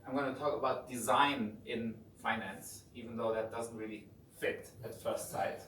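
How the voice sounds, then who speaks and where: A man's voice: mid-range voice, normal speed. German-accented man lecturing in an echoey room. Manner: relaxed, informal, frequent restarts. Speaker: guest lecturer. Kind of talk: conversational lecture. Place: hotel conference room. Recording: echoey room, distant mic, faint noise.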